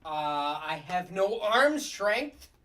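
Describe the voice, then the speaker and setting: A performer acts out a cynical puppet prosecutor in a sitcom script. The delivery is unhurried and blunt, full of sardonic wisecracks. The voice sits back from the microphone. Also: medium pitch, very animated, bright, forceful tone, distant mic, full character voice. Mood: brusque. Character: gruff wisecracking cynical puppet district attorney. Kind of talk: comedy script table read.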